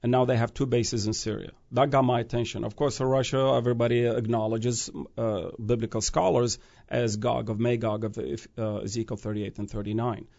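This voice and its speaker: A man's voice: medium pitch, little pitch movement, a little fast, gravelly, a little mellow, dull audio. A man who grew up in Iraq, a guest speaking about prophecy in an earnest, preaching way, often restarting phrases and rising to emphatic peaks.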